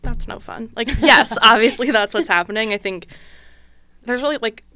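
A young woman chatting candidly and jokingly as a podcast guest in a small studio, talking into a boom mic. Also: moderate pace, pushed and bright, clean tone. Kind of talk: casual chat. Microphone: studio mic on boom arm, headphones.

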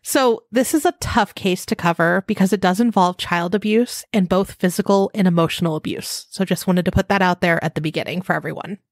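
A woman's voice: fairly low pitch, brisk, few pauses, no echo, studio quality. A woman in her thirties co-hosting a casual true crime podcast, chatting and reading from researched notes. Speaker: podcast co-host.

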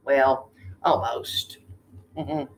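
A woman's voice: very deep voice, steady tone, very slow. An older woman reading a children's picture book expressively, with chatty asides and questions to the listeners. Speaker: read-aloud storyteller. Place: indoor room with tiled ceiling.

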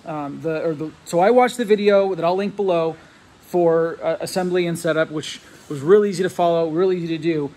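A man's voice: a little high, a little fast, slight background noise. A man in his thirties filming himself with a handheld phone in a large metal hangar, talking casually and a bit rambling as he explains a model plane setup. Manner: relaxed, rambling, with fillers and restarts, explains technical setup. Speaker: RC plane hobbyist vlogger. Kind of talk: casual walkthrough vlog. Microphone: handheld phone camera held close.

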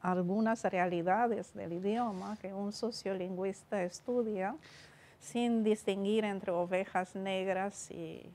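A woman's voice: mid-range voice, steady tone, slow, darkish tone, a little hiss or hum. Woman in her seventies. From Romania. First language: Romanian. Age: 70s. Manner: thoughtful, with frequent restarts and gestures. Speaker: guest linguist. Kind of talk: panel conversation. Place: seminar room with bookshelves.

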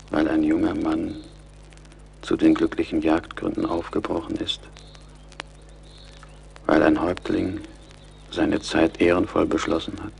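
Ancient grieving patriarch, male, very deep, slow, solemn, gravelly radio drama voice. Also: darkish tone, slight background noise, full character voice. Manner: solemn funeral oration. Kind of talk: dramatic radio play acting.

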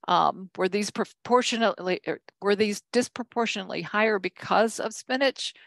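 An older woman giving a conference keynote from slides, measured, with frequent ums and self-corrections. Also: mid-range voice, gravelly, a bit bright, no room sound. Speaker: keynote speaker.

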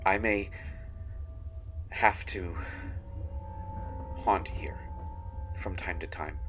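A man voicing a kindhearted, haunted young banshee in a dramatic monologue, halting between phrases as if confessing. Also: low voice, muffled recording, soft background music, full character voice. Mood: somber, regretful. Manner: halting, hushed confession with long pauses. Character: kindhearted young male banshee, half-fey, haunted and menacing.

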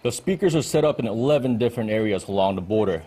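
A man's voice: on the low side, lively intonation, fast, mellow. A man in his thirties delivering a live news report as a correspondent from an indoor briefing room. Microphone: handheld-style reporter mic on desk.

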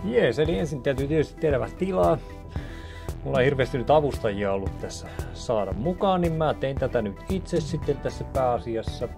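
A man's voice: mid-range voice, lively intonation, vocal fry. A man in his thirties talking casually in a rambling, chatty way while he walks through building a film set in a small office studio. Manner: chatty, rambling, with many restarts. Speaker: video maker showing a set build. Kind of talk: casual DIY vlog talk. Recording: background music under the voice. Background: music playing under the talk.

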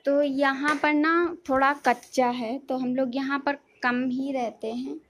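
High-pitched woman. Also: steady tone, slow, a bit bright, slight background noise. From India. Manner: explanatory, repeats and rephrases. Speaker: home vlogger. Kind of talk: casual house tour narration. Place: inside a family house, moving room to room. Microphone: handheld phone behind the camera.

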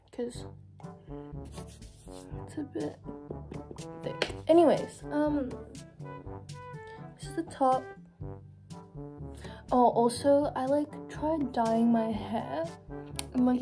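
A woman's voice: high-pitched, fairly even intonation, takes it slowly, long pauses, music in the background. A teenage girl chats awkwardly into her phone camera, talking about her outfit and thrift finds. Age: teens. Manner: awkward, giggly, self-conscious. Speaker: vlogger. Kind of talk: casual vlog chat. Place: bedroom, then train and thrift stores. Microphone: phone camera held close.

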